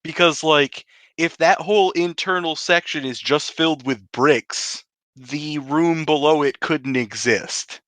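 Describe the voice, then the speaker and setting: Male tabletop player, bright forceful tone, very dry recording, casual and practical. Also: on the high side, unhurried, dry recording. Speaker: player in a tabletop role-playing game. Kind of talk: casual improvised game chat.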